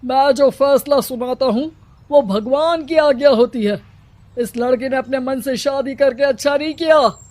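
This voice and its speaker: Domineering cartoon village headman, played by a man; extremely high voice, commanding, mocking, self-important. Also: a little slow, darkish tone. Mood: stern, commanding. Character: stern, domineering village headman who oppresses women. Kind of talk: Hindi cartoon character acting.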